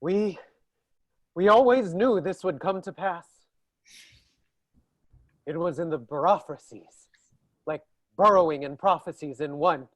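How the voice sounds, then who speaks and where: A woman's voice: lowish voice, slow, pauses often, no echo. A woman playing a smug, scornful prophetic squirrel with a theatrical, pompous delivery in a staged play reading. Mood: smug, gleeful.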